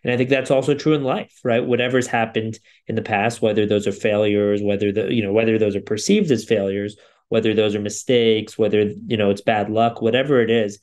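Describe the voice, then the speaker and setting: A man's voice: lowish voice, fairly even intonation, brisk, darkish tone. A man co-hosting a podcast, giving a thoughtful solo recap in loosely strung sentences. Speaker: podcast co-host.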